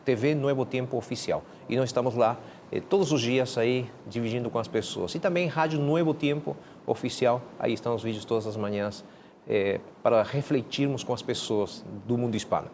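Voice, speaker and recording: Man, guest pastor, measured, repeats words, mid voice. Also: mid-range voice, darkish tone, slight background noise. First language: Spanish. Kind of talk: casual studio talk.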